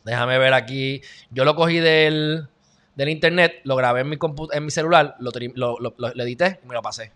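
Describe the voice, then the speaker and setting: A man's voice: medium pitch, moderate pace, fairly bright tone. A man from Puerto Rico with a Puerto Rican accent, giving loose, joking commentary on the news to his viewers. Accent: Puerto Rican Spanish. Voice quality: loose, chatty, joking asides. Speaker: video host.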